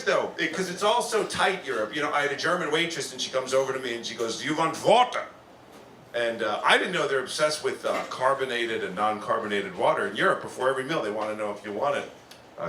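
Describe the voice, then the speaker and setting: A man's voice: mid-range voice, animated, quick pace, sharp tone, far from the mic, a little hiss or hum. A man doing stand-up comedy to a live audience, rambling and slipping into mock voices. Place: comedy club stage. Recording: roomy club with audience behind.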